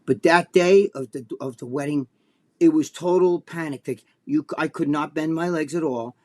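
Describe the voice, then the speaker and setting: Profane, animated New Jersey man. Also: normal speed. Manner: animated, profane, acts out quotes in a high voice. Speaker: podcast co-host. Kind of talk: casual storytelling chat.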